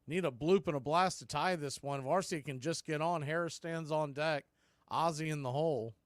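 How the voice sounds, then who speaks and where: A man hosting a baseball broadcast, giving play-by-play commentary in clipped phrases with deliberate pauses. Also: a little high, no echo, studio quality. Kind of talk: live play-by-play commentary mixed with history recap.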